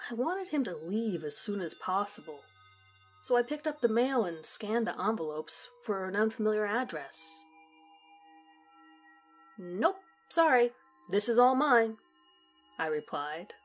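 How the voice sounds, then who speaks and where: A woman narrating a scripted first-person story in a conversational, measured storytelling manner. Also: fairly low pitch. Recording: music behind the voice. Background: background music.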